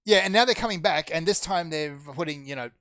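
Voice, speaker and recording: Man with a sardonic, brisk delivery. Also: fairly high pitch, lively intonation, a bit bright, clean tone, dry recording, clean studio sound. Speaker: podcast host. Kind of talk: casual chat.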